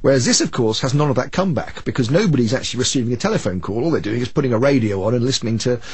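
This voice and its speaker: A man's voice: medium pitch, animated, very fast, background noise. A man being interviewed, explaining things in informal, spontaneous talk.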